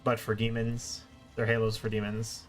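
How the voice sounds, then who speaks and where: Man commentating live gameplay over loud music. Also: lowish voice, completely flat delivery, moderate pace. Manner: casual, explanatory. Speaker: video game streamer. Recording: game music behind the voice. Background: video game music.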